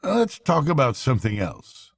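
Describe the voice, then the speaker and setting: A man voicing a hearty, brisk storybook rat who is kindly, blunt and earnest. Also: mid-range voice, huge pitch swings, gravelly, voice pushed up, heavily acted. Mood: alarmed, reassuring. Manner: brisk, exclamatory, blunt but fond.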